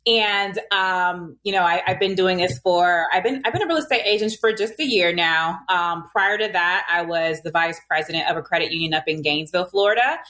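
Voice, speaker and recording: A woman's voice: fairly low pitch, a little fast, few pauses, bright, forceful tone. A woman in her thirties, a podcast guest, talking casually about herself with frequent fillers and laughs. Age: 30s. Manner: chatty, laughing often, fillers. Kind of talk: casual interview chat.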